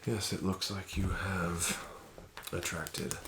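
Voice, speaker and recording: A man doing an ASMR casino dealer roleplay, with smooth, flattering, unhurried patter. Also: low voice, noisy background.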